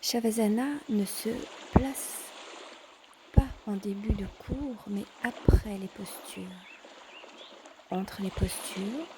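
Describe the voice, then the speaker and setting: A woman teaching yoga in a calm guided instruction style, measured, pausing between short phrases. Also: medium pitch, noisy background.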